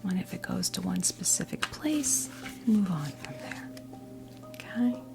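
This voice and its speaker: American woman, fifties, breathy ASMR whisper, soothing tarot reader role play, very unhurried, crackly fry, background music. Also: lowish voice, very animated. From New Jersey, United States. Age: 50s. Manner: soothing, conversational, halting and gentle. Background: soft background music.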